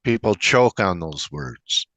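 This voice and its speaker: A man hosting a casual interview, talking in a wry, conversational and gently teasing way. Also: sits low, sing-song, takes it slowly, no room sound. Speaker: interview host.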